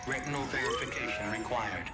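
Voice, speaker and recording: A man's voice: medium pitch, fairly quick, very noisy, close to an everyday voice. Man as an echoing, impassive computer system. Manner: clipped, procedural, formal. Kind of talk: video game cutscene acting. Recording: echoing voice under loud game soundtrack.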